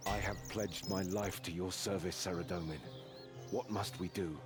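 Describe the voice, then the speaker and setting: A fairly low male voice from an actor as a noble knight in a fantasy video game. He is courteous, devout and earnest, with formal chivalrous phrasing. Loud music runs behind the voice. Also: fairly even intonation, normal speed, natural voice. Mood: loyal, earnest. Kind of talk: scripted fantasy game acting.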